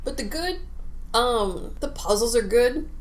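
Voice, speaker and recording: A woman's voice: on the high side, animated, a little slow, poor audio. A woman co-hosting a podcast, chatting casually about video games in an informal, jokey, rambling way. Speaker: podcast co-host.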